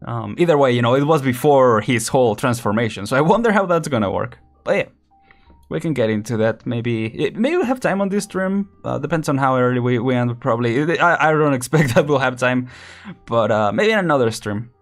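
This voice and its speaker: A man's voice: medium pitch, animated, fairly quick, soft background music. A man casually commenting while streaming a game, rambling and laughing often.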